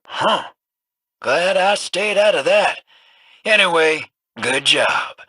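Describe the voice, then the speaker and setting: A brash pirate captain with a swaggering, gruff drawl. His voice is husky and bright with a lively lilt, and he takes his time. The sound is close and dry, game dialogue. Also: high voice, takes it slowly, dry recording, full character voice. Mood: cocky. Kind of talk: video game voice acting.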